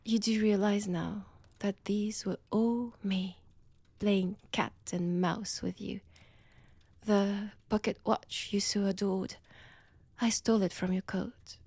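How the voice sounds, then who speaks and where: A woman with a French accent playing a seductive, predatory vampire in an intimate audio roleplay, breathy and teasing, often dropping toward a whisper. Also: medium pitch, fairly even intonation, a little mellow, no room sound. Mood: gloating, teasing. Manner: slow, teasing, menacing, deliberate pauses. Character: seductive, predatory female vampire toying with her captive.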